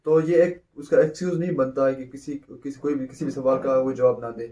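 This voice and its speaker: A man's voice: medium pitch, steady tone, fairly quick, dark tone, recorded from a distance. A man teaching a law lecture, who repeats points and answers his own questions. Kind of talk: explanatory lecture, mixing in English terms.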